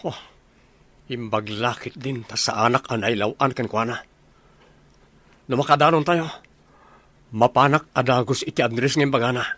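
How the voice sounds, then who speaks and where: Man playing a forceful young soldier son. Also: medium pitch, steady tone, normal speed, long pauses, dry recording, lightly acted. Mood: angry, determined. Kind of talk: Ilocano radio drama acting.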